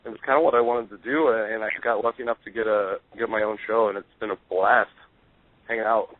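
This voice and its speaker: A man's voice: on the low side, steady tone, fast, no echo. A man from Nebraska, a radio DJ and band member, eagerly answering questions as a guest on a casual talk show. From Nebraska, USA. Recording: dry, tinny phone-like sound.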